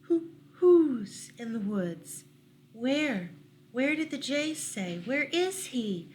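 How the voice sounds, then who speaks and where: Woman voicing a high, hooting, questioning owl. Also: big pitch swings, darkish tone, a little hiss or hum, exaggerated voice. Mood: inquisitive, insistent. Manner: drawn-out, repeated hooting words.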